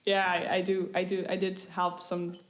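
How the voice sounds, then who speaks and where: Woman chatting on a podcast, lowish voice, open and a bit giggly. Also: monotone, moderate pace. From Germany. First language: German. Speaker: interview guest, musician. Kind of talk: casual podcast conversation.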